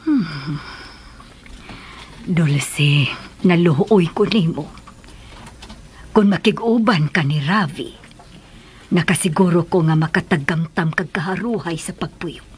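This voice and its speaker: Very husky Cebuano madam giving counsel. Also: female voice, on the low side, slow, pauses often, exaggerated voice. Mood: concerned, advising. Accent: Cebuano Filipino. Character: concerned, advising madam to a younger woman. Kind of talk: radio drama acting.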